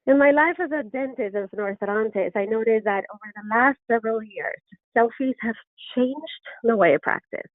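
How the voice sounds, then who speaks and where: A middle-aged woman with an Argentine Spanish accent presents an online lecture, earnest and enthusiastic, pausing on ums and restarting phrases. Her voice sits at an ordinary pitch. The sound is dry and narrow, like a phone line. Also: no room sound. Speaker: orthodontist lecturer. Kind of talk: webinar presentation. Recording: dry, tinny phone-like webinar audio.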